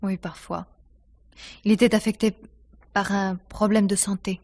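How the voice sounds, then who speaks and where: An actress playing a self-possessed, sardonic theatre star under suspicion in a 1950s-style French radio mystery, with a dry, poised delivery. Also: female voice, mid-range voice, level tone, a little slow, a little mellow. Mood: reflective, frank. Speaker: radio drama actor.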